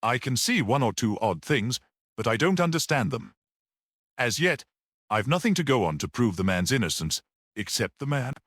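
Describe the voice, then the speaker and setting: A man voicing a gentle, shrewd priest detective in a story, speaking simply and earnestly with quiet certainty. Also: medium pitch, very animated, moderate pace, no echo, studio quality. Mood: puzzled, simple.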